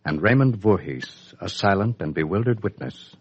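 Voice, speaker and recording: A man narrating a 1950s radio serial from a script in a measured, suspenseful manner with a husky voice. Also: low-pitched, lively intonation, no echo. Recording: dull, dry 1950s radio recording.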